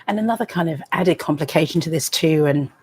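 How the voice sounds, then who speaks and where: A woman with a British accent and a low, husky voice, interviewing an author on stage. She sets up her questions in a measured way, leaning on hedging words as she goes. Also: a little mellow. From United Kingdom. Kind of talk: live onstage interview.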